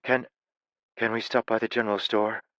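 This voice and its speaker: A man's voice: on the low side, little pitch movement, moderate pace, a bit bright, dry recording, lo-fi sound, pitched above the performer's own voice, natural voice. A man voicing a cautious, sensible young woman in an audiobook, speaking in a cautious, patient way.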